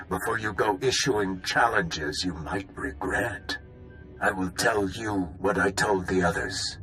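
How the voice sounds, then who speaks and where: A proud villainous warrior in a game cutscene. He speaks slowly in a low, forceful voice, taunting and threatening, with music behind. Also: full character voice, rough recording. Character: menacing proud male Mandalorian warrior villain. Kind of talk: video game cutscene acting.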